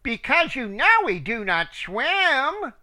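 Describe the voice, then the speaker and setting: A man voicing a flock of demanding, indignant hens in a rhyming story, shrill and insistent. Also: sing-song, slow pace, pushed and bright, full character voice.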